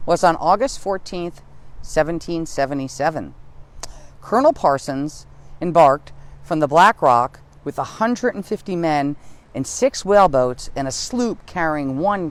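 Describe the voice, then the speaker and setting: An American woman reading history aloud for a live online audience, conversational and engaged, with asides and questions. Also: fairly low pitch. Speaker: tour guide and reader. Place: outdoors, windy.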